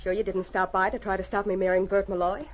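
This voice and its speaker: A woman's voice: mid-range voice, flat delivery, quick pace, natural voice. An actress playing a headstrong young frontier woman, polite and emotional, in old radio drama style. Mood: polite, challenging. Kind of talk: 1940s radio acting. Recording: dull old radio recording.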